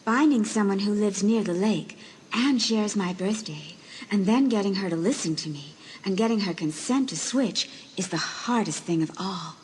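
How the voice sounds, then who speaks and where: A woman voicing a teenage girl's ghost in a mirror, soft, hushed and gently pleading. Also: medium pitch, normal speed, a little hiss or hum, lightly acted. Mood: coaxing. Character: faint ghostly teenage girl spirit in a mirror.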